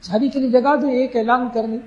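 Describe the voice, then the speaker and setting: High-voiced scholar in an echoey room. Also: male voice, fairly even intonation, darkish tone, lo-fi sound. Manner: emphatic, slows to stress key phrases. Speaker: Islamic scholar. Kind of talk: religious talk answering questions.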